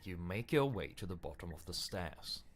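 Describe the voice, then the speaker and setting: A man voicing a grave, stern narrator of a dark horror tale, measured and matter-of-fact. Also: sits low, expressive. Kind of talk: scripted second-person narration.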